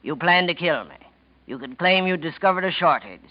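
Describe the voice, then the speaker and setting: A gloating, jittery murderer from an old radio mystery, acted by a man, taunting and theatrical. His voice rides fairly high and swings widely. The sound is a dull vintage broadcast recording. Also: animated, a little fast, lightly acted. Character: scheming, gloating male killer, jittery and resentful. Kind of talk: 1940s radio mystery acting.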